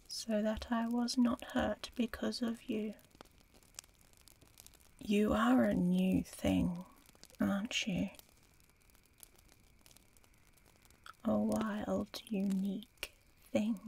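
Casting: woman to play an ancient female dragon for fantasy audio roleplay; unhurried, gravelly half-whisper, aloof yet teasing and curious. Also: mid-range voice, darkish tone, full character voice. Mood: intrigued, pondering. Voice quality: breathy, often whispering. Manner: slow, measured, musing.

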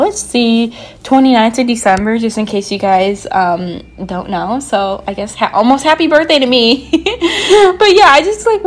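A young woman gaming creator doing a casual, bubbly, rambling voiceover with a slightly sore throat. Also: fairly high pitch. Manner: bubbly, rambling, frequent "like" and asides. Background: background music.

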